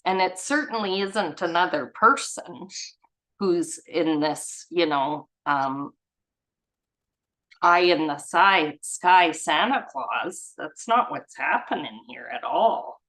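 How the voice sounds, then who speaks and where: A woman with a slightly low voice speaks at a very unhurried pace. She leads a study group, moving between reading aloud and casual commentary of her own. Her way of talking is informal, effusive and reflective. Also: animated, a bit bright, low-quality recording. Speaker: reader and study group leader.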